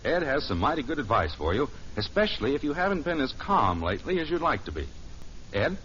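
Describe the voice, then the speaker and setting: A man's voice: mid-range voice, very animated, brisk, a bit bright, a little hiss or hum. An American man giving wry, scripted narration as announcer of a 1950s radio show. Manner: wry, storytelling. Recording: muffled old radio recording.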